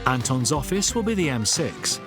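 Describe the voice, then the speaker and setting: Male documentary narrator, measured scripted read, music under. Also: mid-range voice. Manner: measured, even voice-over.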